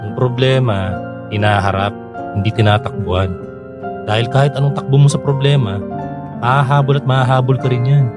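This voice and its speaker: A man's voice: mid-range voice, fairly even intonation, moderate pace, long pauses, close to an everyday voice. A man playing a frank, caring friend who gives firm advice in a Filipino radio drama, with a Filipino accent. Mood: urging, firm. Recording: guitar music behind the voice.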